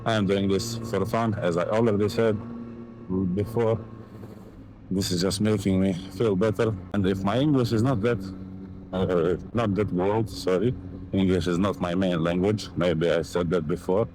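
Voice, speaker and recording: A young Montenegrin man with a very low voice rambles through a casual motorcycle vlog in accented English, full of fillers and offhand swearing. He talks into a helmet mic while riding in city traffic, with faint wind and traffic noise underneath. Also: steady tone, normal speed. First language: Serbian. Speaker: motovlogger.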